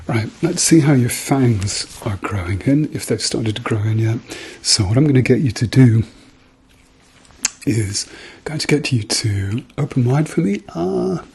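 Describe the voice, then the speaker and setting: A man speaking softly to the listener as a doctor in an ASMR medical roleplay, murmuring reassuringly and often slipping into whispers. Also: mid-range voice, expressive, unhurried, gravelly, breathy edge. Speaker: ASMR performer playing a doctor.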